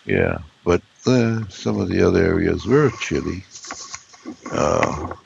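A man with a hoarse, froggy voice, chatting loosely as a regular guest and rambling with many ums and uhs. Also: sits low, expressive, slow pace, lo-fi sound, background noise. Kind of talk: casual conversation.

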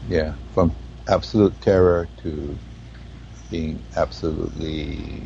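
A man with a Hawaiian accent speaks in a very deep, dark, mellow voice with a gravelly edge. He talks slowly and haltingly, searching for his words. The sound is dull and lo-fi, with a faint hiss behind him. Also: steady tone. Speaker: guest. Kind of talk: spiritual conversation.

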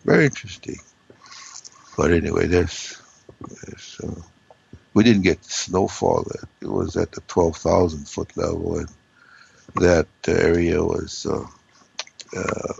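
A man with a hoarse, froggy voice, chatting loosely as a regular guest and rambling with many ums and uhs. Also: deep voice, fairly even intonation, takes it slowly, long pauses, a little mellow, muffled recording. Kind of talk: casual conversation.

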